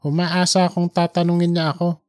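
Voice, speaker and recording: A performer voicing a gentle, devoted man of sixty, earnest and wistful as he speaks as a son. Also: medium pitch, flat delivery, fairly quick, dry recording, studio quality, lightly acted. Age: 60s.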